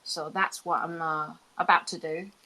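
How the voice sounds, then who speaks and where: Female co-host, musician, on the lower side in pitch. Casual panel chat, thinks aloud. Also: fairly even intonation, normal speed, pushed and bright, rough recording. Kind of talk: casual live online panel talk. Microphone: live audio chat room on a personal device.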